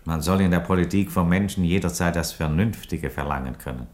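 An actor in a radio play playing a calm, reasonable Roman emperor, speaking with dry, philosophical courtesy. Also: male voice, low-pitched, lightly acted. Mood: calm persuasion. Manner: measured, philosophical, drily courteous. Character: calm, ironic, reasonable Roman emperor who loves chickens. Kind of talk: theatrical radio play dialogue.

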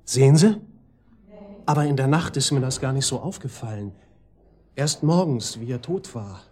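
An actor playing a talkative psychiatric-ward patient informing the police in a German radio drama, rambling and colloquial. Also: male voice, mid-range voice, moderate pace, a little mellow, lightly acted. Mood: confiding, suspicious.

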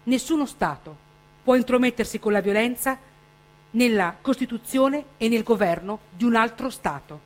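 A woman's voice: fairly high pitch, moderate pace. A woman declaiming a written text as a stage reader.

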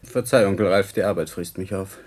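A man's voice: deep voice, fast talker, darkish tone. A man playing a driven, impatient young physicist in a German science fiction radio play, speaking insistently. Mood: urgent, pleading. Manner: intense, insistent, lecturing.

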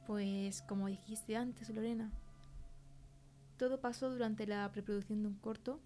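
A young woman podcast guest with a Spain Spanish accent. Her voice is mid-pitched and she gives a conversational testimony, with faint music in the background. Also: steady tone, a little slow, warm tone. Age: young adult. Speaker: podcast guest, film producer.

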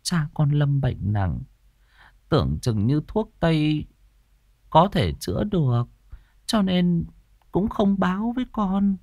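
A man voicing a grieving elderly widowed mother in an audio story, halting and tearful. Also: mid-range voice, sing-song, dark tone, dry recording, studio quality. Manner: halting, sobbing, repeating words.

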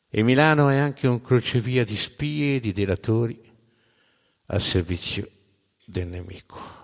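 A man narrating live in a theatrical storytelling style, with dramatic, emphatic phrasing that swings between loud and hushed. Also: medium pitch. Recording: long hall reverb.

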